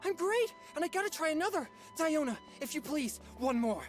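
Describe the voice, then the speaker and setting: A man's voice: very high-pitched, fairly quick, faint music behind. A man playing an earnest, polite teenage boy exorcist in an anime-style game. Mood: giddy rapture. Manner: polite, expressive.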